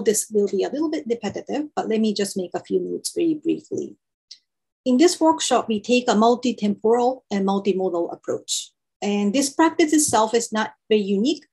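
A woman's voice: medium pitch, vocal fry, darkish tone, slightly rough. A Japanese woman who speaks English as a second language, carefully explaining the setup of an online workshop she facilitates over a video call.

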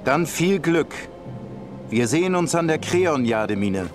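An actor playing a soldier champion in a video game, giving orders and briefings in a commanding way. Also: male voice, fairly high pitch, expressive, measured pace, over music. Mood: earnest, briefing. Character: gruff male soldier champion escorting war turtles. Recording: noisy game battle ambience behind voice.

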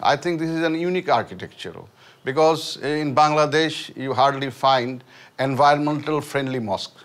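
A man's voice: a little high, normal speed, a bit bright. Earnest middle-aged man, lapel mic. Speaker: community member. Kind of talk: interview. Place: indoors by a brick wall.

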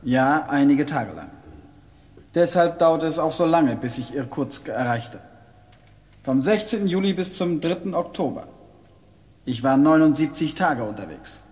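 An actor playing a composed, deferential courier officer, reporting formally in a German audio drama. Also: male voice, on the high side, lively intonation, a little slow, darkish tone. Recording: long hall reverb.

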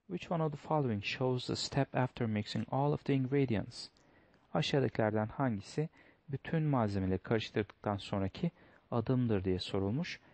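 A male teacher works through test answers in a steady, methodical way. His voice sits at a medium pitch. The recording is dry and close, with no room sound. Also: normal speed, no echo. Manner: step-by-step, methodical, reads English aloud then translates. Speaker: English teacher.

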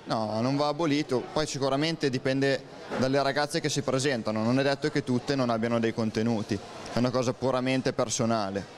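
A man in his thirties answering a street interview outdoors under a city arcade, giving calm, measured answers into a handheld mic. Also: mid-range voice, slight background noise. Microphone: handheld mic held toward him.

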